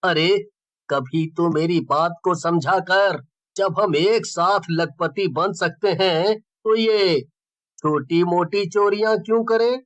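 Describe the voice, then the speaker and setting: A man's voice: high voice, takes it slowly. A man acting a greedy, cocky young village schemer in a cartoon story, with a boastful way of talking. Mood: impatient.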